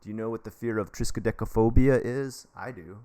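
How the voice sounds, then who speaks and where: Chatty American man with a low voice. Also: a little fast, warm tone. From United States. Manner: rambling, jokey, chummy, addresses viewers directly. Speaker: livestream advice host; English teacher. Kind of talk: casual live-stream advice chat.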